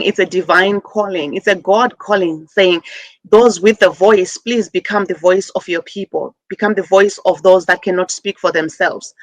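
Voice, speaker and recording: A middle-aged woman from Zimbabwe, a guest on a talk show, speaking earnestly with many pauses and fillers as she appeals for help. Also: lowish voice, no echo. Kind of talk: call-in interview.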